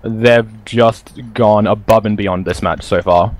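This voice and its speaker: A man's voice: on the low side, steady tone, takes it slowly, no echo. A man co-casting a live esports match in a chatty, upbeat way, laughing now and then between phrases. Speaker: esports caster. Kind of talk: live game commentary.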